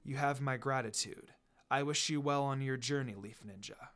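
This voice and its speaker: A man's voice: medium pitch, moderate pace, fairly bright tone, faint music behind, voice pushed down, natural voice. A man voicing a polite, formal masked ninja hunter in a story reading. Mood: calm, formal. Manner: formal, courteous.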